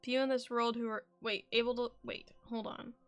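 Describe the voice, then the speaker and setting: A woman's voice: fairly high pitch, flat delivery, normal speed, no room sound, soft background music. A woman game streamer giving casual live reactions in a playful, blunt, muttering-to-herself way.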